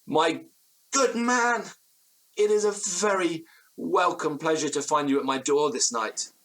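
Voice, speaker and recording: An actor playing an anxious, haunted gentleman narrating a period ghost story in florid, formal, faltering language. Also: on the high side, unhurried. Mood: effusive, eager. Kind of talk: dramatic first-person horror narration in audio drama.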